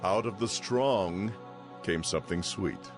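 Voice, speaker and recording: A man's voice: low-pitched, slow, over music. An actor playing a headstrong, proud young strongman in a dramatized audio Bible, speaking in commanding, formal phrases. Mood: challenging.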